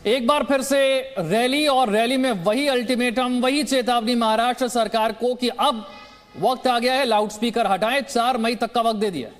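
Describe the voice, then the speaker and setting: A man's voice: extremely high pitch, steady tone, moderate pace. A man anchoring the news, handing over live to reporters.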